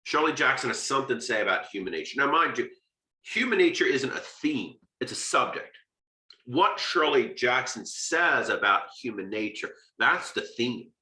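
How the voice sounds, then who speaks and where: Bright-voiced American man lecturing, echoey room. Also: mid-range voice, big pitch swings, moderate pace. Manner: conversational and emphatic, punctuating points with rhetorical questions. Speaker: literature lecturer and video host. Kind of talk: informal explanatory lecture.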